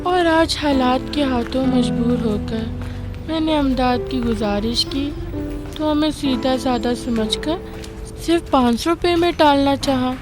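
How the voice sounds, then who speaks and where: Woman acting a high-voiced, plaintive widowed mother. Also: measured pace. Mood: hurt, dignified. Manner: plaintive, earnest. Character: poor, weary widowed mother, pleading yet dignified. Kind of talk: radio drama acting. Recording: music behind the voice.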